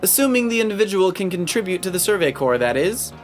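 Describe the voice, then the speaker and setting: A woman voicing a stern, aloof captain in a video game, formal, measured and cool, with music behind. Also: medium pitch, sing-song, lightly acted. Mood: stern, appraising. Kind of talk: voiced video game dialogue.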